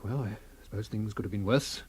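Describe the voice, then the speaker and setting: An actor playing a self-pitying middle-aged husband given to exaggeration, exclaiming and repeating himself in comic radio play style. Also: male voice, on the low side, fairly quick, a little mellow, lightly acted. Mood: resigned, brightening. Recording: noisy radio drama recording.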